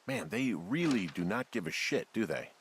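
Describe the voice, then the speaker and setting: A man voicing a weary, wry, awkward male fire lookout in a video game, speaking hesitantly with low-key dry humor. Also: sing-song, normal speed, creaky, slight background noise. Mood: uneasy, wry. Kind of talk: naturalistic video game voice acting.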